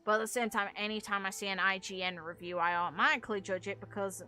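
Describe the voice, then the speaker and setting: A woman's voice: mid-range voice, steady tone, fast, sharp tone, smooth, soft background music. A British woman chatting casually while streaming a game, with a blunt, opinionated manner and some mild swearing.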